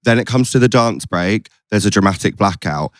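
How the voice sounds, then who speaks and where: A man's voice: fairly low pitch, lively intonation, moderate pace, no room sound, studio quality. A British man hosting a podcast in casual chat, with playful, campy banter. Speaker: podcast host.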